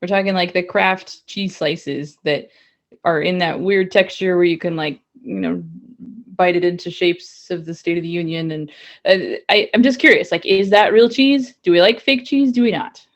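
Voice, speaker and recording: A woman's voice: fairly low pitch, moderate pace, highs cut off. A woman co-hosting a casual podcast, chatty and rambling with frequent laughs. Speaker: podcast co-host. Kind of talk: casual banter.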